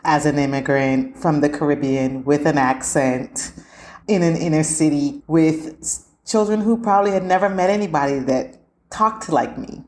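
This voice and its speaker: A woman's voice: low-pitched, moderate pace, a little mellow. A woman in her forties from Barbados and the United States, telling her own story reflectively as a podcast guest. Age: 40s. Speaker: podcast guest, school counselor.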